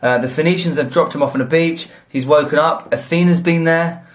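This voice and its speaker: A young man from the south of England co-hosting a podcast, retelling a story with dry, deadpan humour. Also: on the high side, fairly quick. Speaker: podcast co-host. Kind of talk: casual comedic chat.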